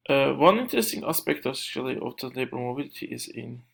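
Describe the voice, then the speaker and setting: A man with a Turkish accent lectures without a script. His voice sits at a middling pitch with heavy vocal fry. His delivery is halting, full of searches for the right word and reworked phrases. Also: animated. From Turkey. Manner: halting, searching for words, frequent self-corrections. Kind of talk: unscripted lecture.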